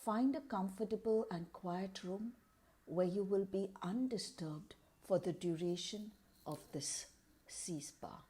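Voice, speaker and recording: Slow, mellow woman guiding meditation. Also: mid-range voice. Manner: calm, measured, deliberate phrasing with pauses. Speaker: guided meditation leader. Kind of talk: guided meditation narration.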